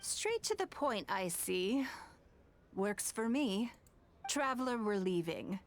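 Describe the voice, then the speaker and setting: An actress playing a shrewd, composed noblewoman and political leader in a video game, speaking formally and deliberately. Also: mid-range voice, lively intonation. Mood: cool, businesslike. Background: background music.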